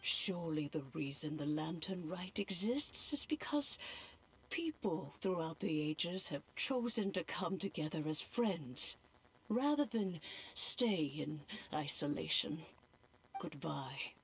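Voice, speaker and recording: A gentle, earnest young woman telling an old legend. She speaks slowly in a lowish, soft voice. Faint ambient noise sits underneath. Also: expressive, heavily acted. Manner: soft, slow, earnest storyteller. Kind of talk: video game voice acting.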